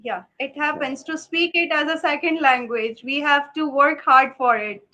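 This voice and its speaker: High-voiced woman hosting politely over a video call. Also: moderate pace, bright, forceful tone, poor audio. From Pakistan. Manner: polite, deferential, asks questions. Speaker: host. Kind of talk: live-stream interview.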